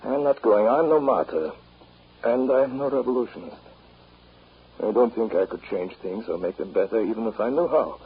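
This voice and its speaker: A man playing a weary, ironic older German professor, measured and grave, in a 1930s radio drama. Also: lowish voice, lively intonation, husky. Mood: weary refusal. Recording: muffled, slightly noisy 1930s radio recording.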